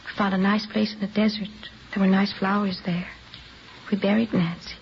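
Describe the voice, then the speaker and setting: A woman's voice: mid-range voice, flat delivery, normal speed, gravelly, natural voice. An actress playing an evasive, weary young mother under questioning in a vintage radio crime drama, defensive and pleading. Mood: resigned, sorrowful. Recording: dull, noisy vintage radio recording.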